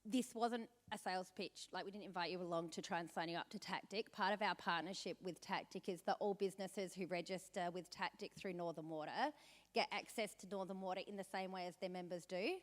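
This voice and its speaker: A woman with a slightly low voice hosts the briefing, explaining things plainly and reassuring the room. A long reverb from the hall trails her words. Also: fairly even intonation, brisk. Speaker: event host. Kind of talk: announcements to an audience.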